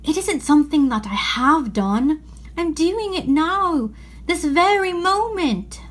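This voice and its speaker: A woman's voice: high-pitched, animated, slow, pitched above the performer's own voice. A woman voicing an anxious, remorseful male faun from a storybook, pleading and confessing dramatically. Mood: remorseful, distressed.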